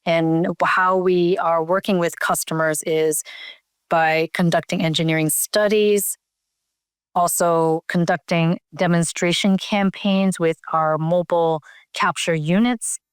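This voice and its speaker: A woman's voice: on the low side, steady tone, a little slow, clean tone, dry recording, studio quality. A woman from Canada, a company CEO, answering interview questions over a remote call, thoughtful with many fillers and restarts.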